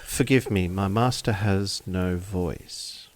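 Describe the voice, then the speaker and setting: Man playing a courteous samurai retainer, unhurried. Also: deep voice, no room sound. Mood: deferential. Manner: courteous, formal. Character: polite, formal samurai retainer speaking for his master.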